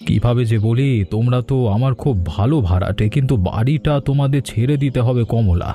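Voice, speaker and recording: A man voicing a dark-voiced apologetic old landlord. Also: mid-range voice, brisk, faint music behind, close to an everyday voice. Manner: regretful, hesitant. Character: older male landlord, apologetic and kindly. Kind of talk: animated cartoon voice acting.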